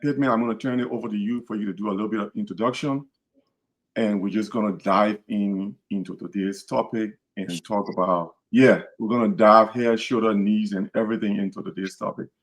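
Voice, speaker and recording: A man's voice: fairly low pitch, steady tone, a little fast. A man from Liberia with a Liberian accent, hosting a podcast in an earnest, repetitive, casual way. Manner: earnest, repeats words and restarts phrases. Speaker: podcast host.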